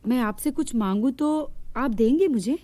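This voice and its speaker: A woman's voice: high voice, moderate pace, mellow, no room sound, natural voice. A woman voicing an aggrieved young daughter-in-law in a moral-story cartoon, plaintive and earnest. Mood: pleading.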